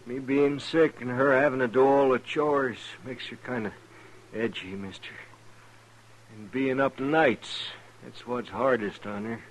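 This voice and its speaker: An actor playing an ailing, wary frontier husband in a radio western, speaking in a folksy, halting way, weak with fever. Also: male voice, medium pitch, steady tone, lightly acted. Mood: weary, coaxing. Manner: folksy, halting rural speech. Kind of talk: 1950s radio western acting. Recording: noisy vintage 1950s radio recording.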